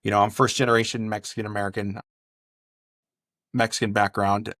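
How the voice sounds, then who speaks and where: Low-voiced man from California, casual storytelling. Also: little pitch movement, brisk, fairly bright tone, dry recording. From Bakersfield, California. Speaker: chef, podcast guest.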